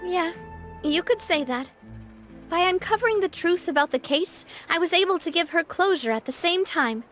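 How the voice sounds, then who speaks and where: A high-pitched, self-assured young woman boss from a video game. She speaks with poise and assertiveness. Music plays behind her. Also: fairly bright tone. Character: confident, cheerful young woman boss of a syndicate. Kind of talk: video game character acting.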